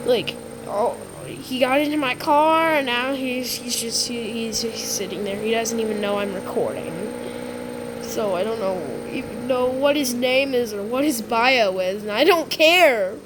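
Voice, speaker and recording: A woman improvising a disaster roleplay for a game video, speaking haltingly with repeated words and stumbles. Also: medium pitch. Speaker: gamer recording a roleplay video. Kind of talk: improvised disaster roleplay with mock alert announcements. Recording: clearly noisy background.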